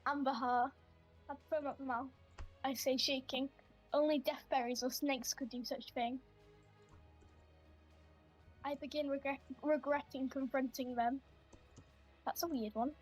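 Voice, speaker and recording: A woman improvising first-person roleplay for a game, haltingly narrating her own character's actions. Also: high voice, fairly even intonation, slightly rough, soft background music.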